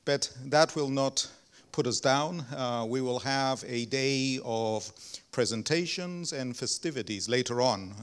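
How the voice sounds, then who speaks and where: Hesitant man with a smooth voice. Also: medium pitch, unhurried. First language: not English (non-native speaker). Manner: many ums and uhs, self-deprecating humor. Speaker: research center director giving opening remarks. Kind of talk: hesitant opening speech at an anniversary event.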